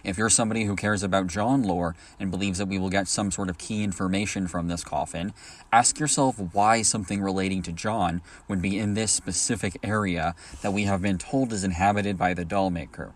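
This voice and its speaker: Deep-voiced man reading a persuasive argument. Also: fairly even intonation, a little fast. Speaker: listener sending submission.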